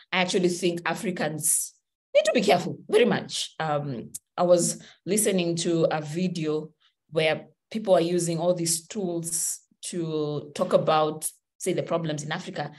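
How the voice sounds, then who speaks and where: A Kenyan woman with a slightly low voice gives a motivational talk over a video call. She speaks with animated energy, moving her hands as she explains. The sound is the plain sound of a laptop video call from a room at home. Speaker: webinar speaker.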